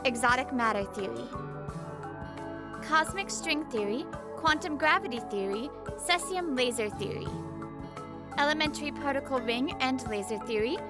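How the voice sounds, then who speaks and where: A woman's voice: sits high, expressive, normal speed, loud music behind the voice. A woman playing a poised young female genius scientist, lecturing politely and articulately in a visual novel fan dub. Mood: assured lecturing.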